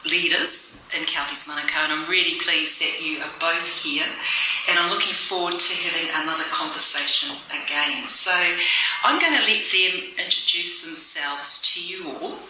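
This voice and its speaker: A woman with a New Zealand accent hosting a friendly staff interview, encouraging her guests. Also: fairly low pitch. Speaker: host. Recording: echoey room, distant mic, noise.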